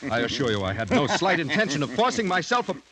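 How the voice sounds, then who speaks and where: An actor playing a proud, formal young French gentleman in dramatic mid-century radio style. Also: fairly high pitch, big pitch swings, fast talker, a crowd in the background. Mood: indignant. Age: young adult. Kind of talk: 1940s-50s radio acting. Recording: noisy vintage radio drama recording.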